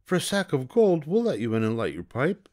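Male reader doing seven little kids as one, obedient and eager, pitched up. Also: very animated, brisk, a little mellow, lightly acted. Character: seven small obedient children in chorus.

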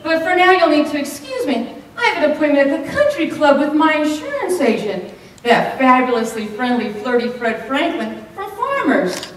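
A middle-aged woman performing a comedic tall tale on stage, with theatrical, deliberate delivery and dramatic pauses. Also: sits high, sing-song. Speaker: speech contest contestant. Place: hotel banquet room, indoors. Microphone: far camera microphone. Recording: echoey room from far off, some noise.

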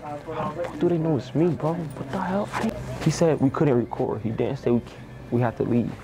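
A man's voice: fairly high pitch, vocal fry. A young man in his twenties with a Haitian accent, playfully talking his way through a prank in a liquor store and parking lot. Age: 20s. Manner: fast, playful, mock-innocent. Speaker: prank content creator. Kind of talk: casual vlog talk to camera. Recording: noisy store and street ambience.

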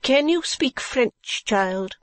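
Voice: sits high, lively intonation, slow, a bit bright, no room sound, pitched above the performer's own voice, full character voice. A reader voicing a haughty, genteel businesswoman wife in a classic novel, with an imperious, scolding manner. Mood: businesslike. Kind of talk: classic novel audiobook character.

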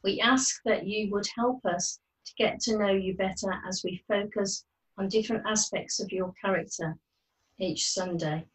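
A woman's voice: mid-range voice, fairly even intonation, normal speed. A middle-aged woman reading out a prayer at home, a little way from a webcam microphone. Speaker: church member leading prayer. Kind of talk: read-out prayer. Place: room at home. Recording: slightly distant webcam mic.

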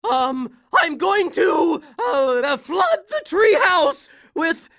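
A woman's voice: extremely high pitch, big pitch swings, slow, fairly bright tone, dry recording, raised voice, clean studio sound, heavily acted. A woman voicing a bumbling, blustering, whiny supervillain in a cartoon video game. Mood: flustered, whiny.

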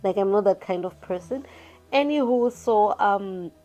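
A young woman from Zimbabwe, giving casual advice straight to camera in a small room, chatty and animated, often restarting her phrases. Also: mid-range voice, measured pace, poor audio. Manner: chatty, animated hand gestures, frequent restarts and "you get what I mean". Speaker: vlogger. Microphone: camera in front at close range.

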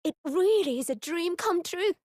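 An actress playing a polite, devoted young judicial assistant in a video game, refined and sincere. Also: female voice, very high voice, animated, moderate pace, a little mellow, no room sound, studio quality, soft background music. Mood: awed, delighted.

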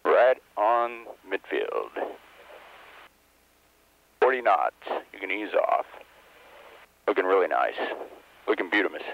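A man's voice: low voice, very animated, slow, gravelly, pauses often. A man in a spacecraft cockpit calling out altitudes and speeds in clipped, matter-of-fact callouts over a headset intercom. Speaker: shuttle crewmember calling out landing data. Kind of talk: terse flight callouts. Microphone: crew headset intercom. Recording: dull archival cockpit audio, faint hiss.

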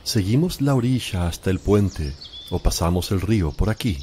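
Man acting calm male spiritual leader, radio play, low animated voice, birdsong and faint noise. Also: moderate pace. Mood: calm, directing.

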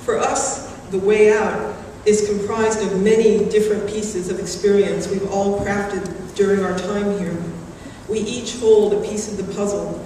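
A middle-aged woman delivering a prepared graduation speech in a measured, earnest way at a podium microphone in a large hall. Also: medium pitch, dark tone. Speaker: graduating student class speaker. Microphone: podium microphone, recorded from the audience. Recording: very echoey hall, distant, background noise.

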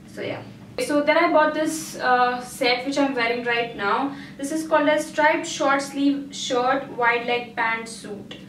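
A woman's voice: sits high, slight background noise. A woman in her twenties with a casual, chatty way of talking, full of ums and restarts, reviewing her purchases to camera indoors. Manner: chatty, hesitant, lots of um and I mean. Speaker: beauty vlogger. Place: small indoor room. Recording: roomy echo, mic at a distance.

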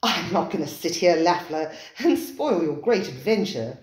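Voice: mid-range voice, lively intonation, roomy sound, close to an everyday voice. A reader voicing a tactful male companion who bows out with light banter. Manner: quick, bantering.

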